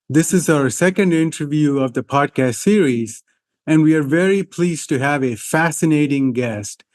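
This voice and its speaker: A man hosting an interview podcast, speaking haltingly with many fillers. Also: mid-range voice, a little mellow. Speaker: podcast host.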